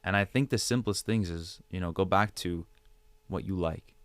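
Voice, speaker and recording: A man's voice: low-pitched, moderate pace, dry recording. A man speaking as a podcast guest, giving conversational advice with rhetorical questions and repeated phrases for emphasis. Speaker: podcast guest, digital creator.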